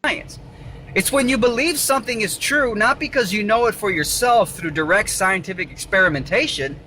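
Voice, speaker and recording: A man's voice: sits high, moderate pace, bright, forceful tone, rough recording. A man delivering an emphatic, repetitive, argumentative video monologue, lecturing from inside a car. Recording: faint vehicle noise. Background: faint car noise.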